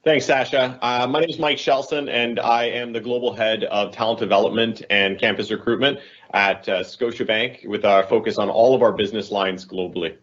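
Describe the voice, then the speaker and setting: A man's voice: fairly low pitch, fairly even intonation, normal speed, a bit bright, dull audio. A man speaking as a corporate talent head on a panel, explaining his points reflectively and correcting himself as he goes. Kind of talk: conversational panel answer.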